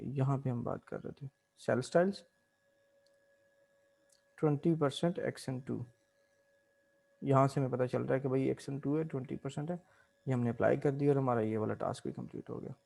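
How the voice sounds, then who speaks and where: Man teaching with a mellow voice. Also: mid-range voice, fairly quick, gravelly, pauses often. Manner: mixes English terms into explanations, steady teaching pace. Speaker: Excel instructor. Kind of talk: screen-recorded software tutorial.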